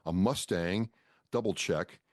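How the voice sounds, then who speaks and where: A man's voice: low-pitched, a little slow, no room sound, studio quality. An American man hosting a podcast interview, talking in an enthusiastic, informal way and readily jumping in. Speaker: podcast host.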